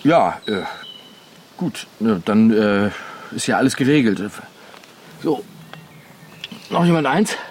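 An actor playing a sardonic husband in a naturalistic radio drama, with a dry, ironic delivery. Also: male voice, fairly low pitch, very animated, slow, long pauses. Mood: resigned, dry. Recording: faint background ambience noise.

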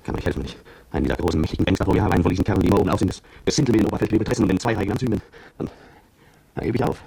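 Gravelly, brooding petrol station attendant. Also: male voice, low-pitched, expressive, rapid-fire, dark tone, dry recording, full character voice. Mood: resigned, self-reproachful. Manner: brooding, confessional first-person narration. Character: intimidated petrol station attendant, bitter, fearful ex-soldier. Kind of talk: German radio drama monologue.

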